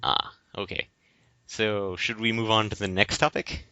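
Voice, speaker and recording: A man's voice: on the low side, steady tone, measured pace, bright, forceful tone, no echo. A man co-hosting a podcast in casual discussion, hesitantly searching for words and repeating phrases. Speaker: podcast co-host. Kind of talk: casual discussion of video games. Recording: dry but dull lo-fi recording.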